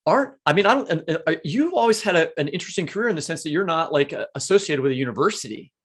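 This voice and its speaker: A man with a creaky voice, asking and musing casually as a podcast co-host. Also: on the high side, expressive, racing, a bit bright. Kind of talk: casual conversational interview.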